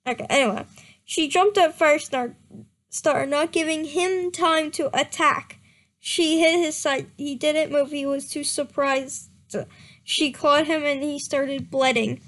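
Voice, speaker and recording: A young woman with a high voice reads a fan story aloud in a slow, unhurried way. She breaks off for sarcastic asides and frequent giggles. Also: steady tone, a bit bright. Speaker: reader. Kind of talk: mocking dramatic reading.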